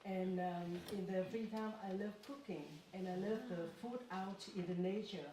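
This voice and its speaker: A woman from Vietnam, an export manager giving a halting, cheerful self-introduction. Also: medium pitch, dark tone. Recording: echoey room, distant mic, noise.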